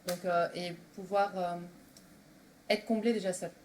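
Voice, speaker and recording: A woman's voice: lowish voice, steady tone, unhurried, a little mellow. A woman of thirty-four from Belgium, talking casually as a guest in a group discussion. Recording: faintly noisy room recording.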